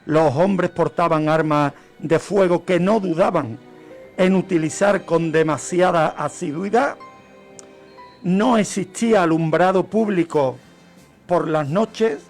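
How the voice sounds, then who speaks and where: Male radio storyteller, Castilian Spanish accent, high-pitched, unhurried and explanatory, pausing deliberately. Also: a little mellow, soft background music. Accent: Spanish, from Spain. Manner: explanatory, rhetorical questions, deliberate pauses. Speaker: radio show host. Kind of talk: history storytelling, semi-improvised radio talk.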